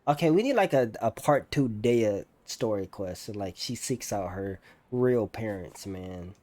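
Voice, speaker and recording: A man's voice: fairly low pitch, lively intonation, unhurried, a little mellow, dry recording. A young man giving casual, slangy live reaction commentary as a gaming streamer. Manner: slangy, repetitive, incredulous exclamations.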